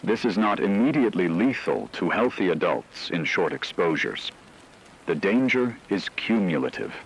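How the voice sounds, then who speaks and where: A man playing a grave expert in a scripted radio disaster broadcast, speaking in a measured, technical and formal way. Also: low voice, sing-song, gravelly, slight background noise, full character voice, rough recording. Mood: grave, clinical. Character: grave male expert briefing on a global disaster.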